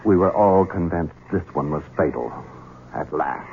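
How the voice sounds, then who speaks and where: A man's voice: deep voice, moderate pace, a little hiss or hum, lightly acted. An actor playing a scheming, resentful son in his thirties who speaks in a cold, mocking, menacing way. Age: 30s. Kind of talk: 1970s radio drama acting. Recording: tinny old radio broadcast with music.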